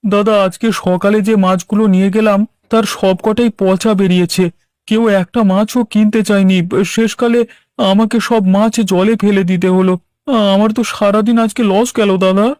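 A man's voice: high voice, steady tone, moderate pace, darkish tone, clean tone, no room sound, close to an everyday voice. A man playing an aggrieved village fish seller in a cartoon, earnestly protesting and standing his ground. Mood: complaining. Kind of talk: Bengali cartoon dub.

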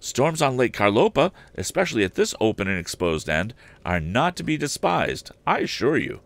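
Man doing a polite higher-voiced hotel proprietor. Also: sing-song, moderate pace, vocal fry, no echo. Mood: apologetic, cautioning. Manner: courteous, formal.

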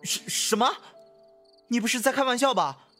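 An actor playing a brash, devoted young time-traveller in a Chinese costume audio drama, boyish, expressive and easily flustered. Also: male voice, very high voice, moderate pace, faint music behind, heavily acted. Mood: flustered, indignant.

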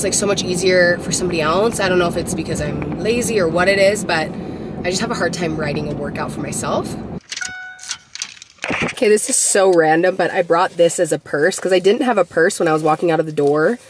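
Casting: woman in her twenties, raspy, low-ish voice, chatty vlogger in a moving car with road noise. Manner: rambling, upbeat, lots of "like". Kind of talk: casual chatty vlog talk to camera. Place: inside a car while driving. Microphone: camera mounted on the dashboard.

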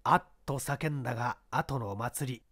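An audiobook narrator reading a scripted story in a theatrical, expressive storytelling manner. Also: medium pitch, unhurried, dry recording, clean studio sound.

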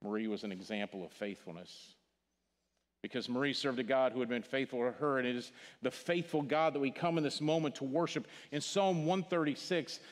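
Older pastor, Missouri accent, earnest funeral preaching, mid-pitched voice, long church reverb. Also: male voice, a little fast. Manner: earnest, repeats words, drops to a hush on key phrases. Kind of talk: funeral sermon. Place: large church sanctuary stage.

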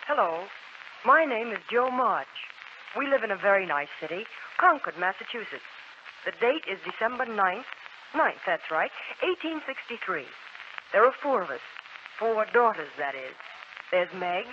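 An actress playing a spirited, outspoken teenage tomboy with a blunt, lively manner in a 1940s radio drama. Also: female voice, mid-range voice, moderate pace, a little hiss or hum, natural voice. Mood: chatty, teasing. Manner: blunt, lively, playfully exasperated. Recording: muffled 1940s radio broadcast, music behind.

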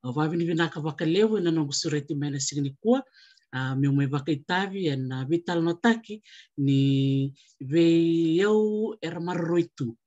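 A woman, giving a gracious, deliberate formal introduction as a panel guest. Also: deep voice, dark tone. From Fiji. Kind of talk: formal self-introduction and greeting. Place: indoors on a video call. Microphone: computer mic on video call. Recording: dull, muffled video-call audio.

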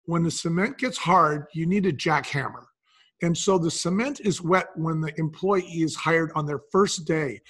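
A man's voice: on the high side, moderate pace, fairly bright tone, slightly rough. An older man talking as an interview guest, explaining things and telling stories in a conversational way. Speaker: podcast guest, business founder.

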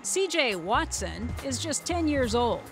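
A woman with an American accent delivers scripted documentary narration. Her voice sits fairly high, and music plays clearly underneath. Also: very animated, a little slow.

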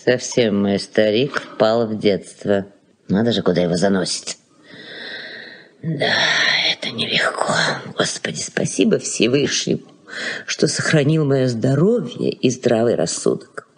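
An actress playing a bossy, nagging elderly aunt in a radio play, with a theatrical, scolding manner. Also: female voice, rumbling low, lively intonation, measured pace, slightly rough, full character voice. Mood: muttering complaint. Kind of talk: Soviet radio play acting.

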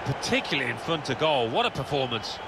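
A man's voice: medium pitch, sing-song, quick pace. A man playing an analytical football co-commentator, chatting through a match in a video game over stadium noise. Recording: loud stadium crowd noise behind voice.

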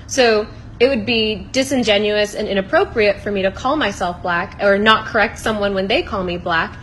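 A woman's voice: mid-range voice, a bit bright, slight background noise, low-quality recording. A young woman from South India answering a comment in a direct-to-camera video, firm and explanatory. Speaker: content creator. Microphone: phone camera, close.

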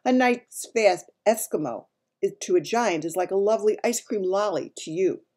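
A woman voicing a gentle, runty male giant from a children's storybook, talking in muddled grammar and made-up words. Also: medium pitch, normal speed, lightly acted. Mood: explaining. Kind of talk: dramatised children's storybook reading.

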